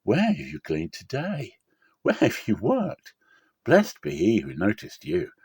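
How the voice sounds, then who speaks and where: A man's voice: on the low side, wildly swooping pitch, measured pace, a little mellow, breathy edge, no room sound, full character voice. A caring older mother-in-law, acted by a man, gives guidance in an earnest, motherly manner. It is scripture drama storytelling, with a close, dry sound. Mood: eager, thankful.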